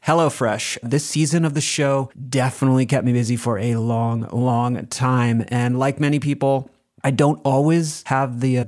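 A man's voice: medium pitch, darkish tone. Man reading a conversational podcast ad. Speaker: show creator reading a sponsor message. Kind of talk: conversational scripted podcast ad read.

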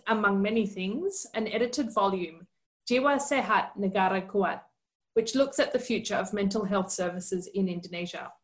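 A woman hosting a podcast, reading a formal introduction and asking interview questions in a clear, measured way. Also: mid-range voice. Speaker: podcast host.

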